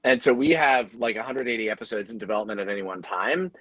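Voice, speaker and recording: A man's voice: on the low side, fast, a bit bright, dry recording. Man explaining, dry close sound. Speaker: audio drama producer on a panel. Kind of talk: conversational panel talk.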